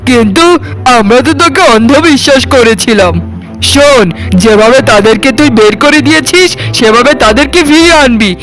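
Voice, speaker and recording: Male actor as wounded, reproachful village brother in cartoon melodrama. Unusually high-pitched voice, faint music underneath. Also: lively intonation, normal speed, fairly bright tone, low-quality recording. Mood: hurt, angry. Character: stern, wounded elder brother in a village household.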